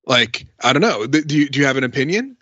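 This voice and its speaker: A man's voice: mid-range voice, animated, fast talker, sharp tone, breathy edge, dry recording, muffled recording. A man on a podcast panel, making his points in casual analytical conversation.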